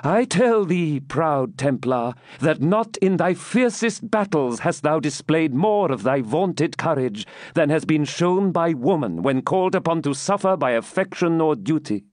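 A brave, devout young woman, as a man reads her lines, answering with earnest, solemn defiance. The voice sits a little higher than usual and moves in a lively way. It is recorded close and dry. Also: no room sound.

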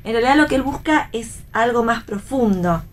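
A woman's voice: fairly high pitch, lively intonation, normal speed, slight background noise. A woman with an Argentine accent giving conversational commentary on a radio programme.

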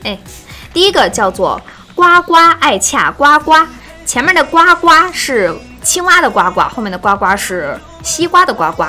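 A woman with a fairly high voice hosts a casual live stream. She talks in a chatty, expressive way and repeats words for emphasis, with music clearly playing behind her. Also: normal speed. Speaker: live-stream host for an audio drama.

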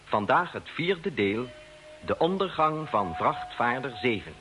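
A man making formal, measured scripted announcements as the announcer of a radio drama. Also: medium pitch, sing-song, over music, poor audio. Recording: old radio broadcast with faint noise.

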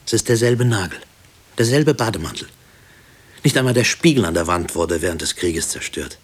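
An actor playing a brooding middle-aged husband haunted by wartime, narrating his thoughts in a measured, deliberate radio-play style. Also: low-pitched, expressive, slightly rough. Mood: reflective. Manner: measured, deliberate, matter-of-fact. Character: brooding married man haunted by wartime prison. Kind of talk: introspective first-person radio drama monologue.

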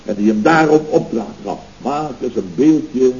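An actor playing a pompous, touchy middle-aged boss of a building firm, blustering theatrically in an old radio comedy. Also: male voice, mid-range voice, animated, a little slow, darkish tone, natural voice. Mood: indignant. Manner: blustering, theatrical, muddles words and sayings. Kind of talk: 1970s Dutch radio comedy acting. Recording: muffled, hissy old radio recording.

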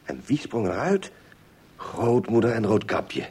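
A man's voice: on the low side, big pitch swings, measured pace, a little mellow. A man reading scripted fairy tale narration as the narrator of an audio play. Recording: clearly noisy background with clicks.

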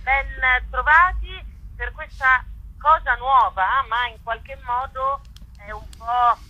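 A woman's voice: sits high, slow, sharp tone, no room sound. A woman interviewed on radio by telephone, talking expansively and repeating phrases for emphasis. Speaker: author and actress, interview guest. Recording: dull, dry telephone line.

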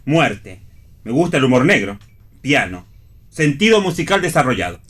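A man's voice: medium pitch, sing-song, moderate pace, fairly bright tone, slightly rough. An actor playing a wry, cocky, mocking man in radio theatre, with an Argentine accent and a clipped, dry delivery. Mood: deadpan.

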